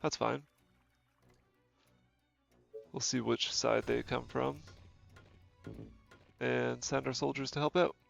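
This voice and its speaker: A man with a cold, his nose stuffy, talks through a strategy game in easygoing commentary, thinking aloud and correcting himself as he goes. His voice is gravelly, with heavy fry, at a middle pitch. The stream audio sounds dull and lo-fi, with faint game music behind him. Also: steady tone, unhurried. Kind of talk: casual gameplay commentary.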